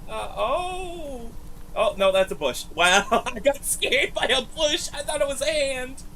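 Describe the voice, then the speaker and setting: A streamer giving casual live reaction commentary in an excitable, sweary, joking manner. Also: high voice, a little slow, slight background noise.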